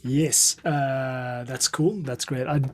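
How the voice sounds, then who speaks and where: A man's voice: medium pitch, fairly even intonation, slow. A man hosting and producing a podcast, chatting casually and giving informal, encouraging direction to a guest. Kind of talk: casual chat, coaching a guest.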